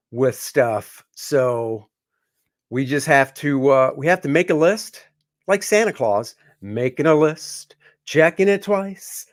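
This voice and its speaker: A man's voice: medium pitch, a bit bright. A man co-hosting a casual livestream, rambling and joking with plenty of fillers. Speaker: comic artist co-host.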